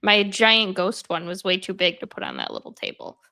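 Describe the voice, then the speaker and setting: Gravelly-voiced woman chatting casually. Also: mid-range voice, huge pitch swings, a little fast, bright, forceful tone. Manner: chatty, rambling with many fillers. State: congested. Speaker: host. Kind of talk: casual chat. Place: at home, sitting in bed.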